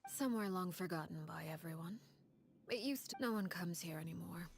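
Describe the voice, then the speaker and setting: An actress playing a cold, composed woman in command in a video game, speaking in a measured, formal way. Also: fairly low pitch, slight background noise, lightly acted. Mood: grave, composed. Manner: measured, formal, restrained delivery. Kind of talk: scripted video game voice acting.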